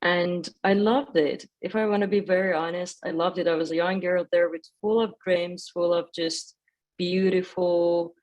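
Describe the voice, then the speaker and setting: A woman's voice: on the low side, darkish tone. A woman from Iran with an Iranian accent and Persian as first language, speaking personally and effusively as a panel guest. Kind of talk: conversational personal reflection.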